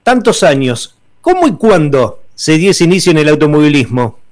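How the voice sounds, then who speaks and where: A man's voice: a little high, big pitch swings, normal speed, a little mellow. A man hosting a radio interview, asking questions in a polite, formal way. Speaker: radio host.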